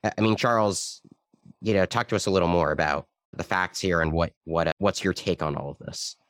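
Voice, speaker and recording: A man's voice: low voice, fairly quick, fairly bright tone, dry recording, clean studio sound. A man co-hosting a talk podcast, speaking with a mildly scratchy throat in a sardonic, casual way. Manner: sardonic, repeats words when starting. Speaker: podcast co-host, reporter.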